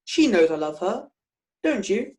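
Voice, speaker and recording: A woman's voice: medium pitch, very animated, a little slow, darkish tone. A young woman playing an affable, easily flustered society husband in a stage play, baffled and exclamatory. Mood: indignant. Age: young adult.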